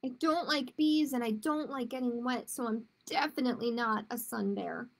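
Teddy bear played by a woman, fretful, earnest, high voice, slow, gravelly edge. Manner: earnest, fretful, simple sentences. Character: gentle unsure male teddy bear.

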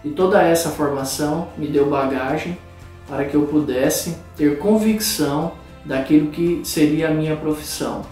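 A man's voice: a little high, moderate pace, a little mellow, very echoey, far from the mic, soft background music. A man reflecting in a composed way on his studies and career path, speaking from a bookshelf-lined room. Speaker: former student, now professor. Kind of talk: personal testimonial.

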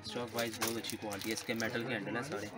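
A man working as a shopkeeper, briskly listing prices and features of his goods. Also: lowish voice, level tone, heavy background noise. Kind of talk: sales talk. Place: indoor bag shop in a busy market. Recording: loud market crowd behind. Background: loud crowd chatter.

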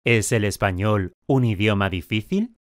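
A man speaking clearly articulated drill questions and statements in a language course. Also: fairly low pitch, measured pace, no room sound, studio quality.

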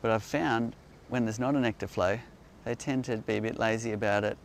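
A man with a low, gravelly voice answers questions and explains with enthusiasm as he demonstrates in an outdoor garden. There is faint outdoor noise in the background, and the recording sounds like a phone camera held nearby. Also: fairly even intonation, a little fast. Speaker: beekeeping company host.

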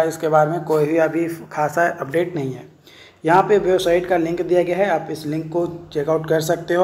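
A man's voice: on the high side, a little mellow. A man, an online instructor informally explaining a document, often repeating filler phrases and mixing in English terms. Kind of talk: informal explainer of a recruitment notice.

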